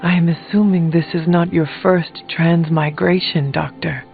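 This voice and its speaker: A woman's voice: fairly low pitch, steady tone, measured pace, creaky, narrow, tinny audio, faint music behind. A woman voicing an earnest, composed young warrior in a video game, with a formal, direct way of speaking. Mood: solemn, thoughtful.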